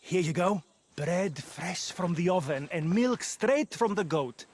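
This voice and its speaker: A man's voice: sits high, lively intonation, measured pace. A man playing a friendly, grateful village merchant in a video game cutscene, genial and familiar.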